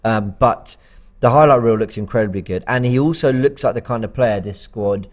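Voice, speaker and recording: A man's voice: mid-range voice, normal speed. A man co-hosting a live football fan show, talking casually and rambling with lots of fillers and restarts. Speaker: fan channel co-host.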